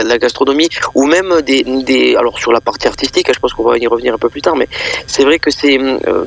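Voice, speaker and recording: A man speaking off the cuff as a festival organiser and guest in a radio interview, halting and often repeating words mid-phrase. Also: medium pitch, gravelly, slightly rough.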